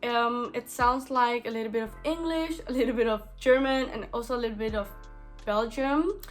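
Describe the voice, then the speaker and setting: A woman's voice: a little high, normal speed, soft background music. A young woman from Indonesia with an Indonesian accent, talking casually to camera from a small bedroom, full of "like" fillers and restarts. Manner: chatty, many "like" fillers and restarts. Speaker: vlogger.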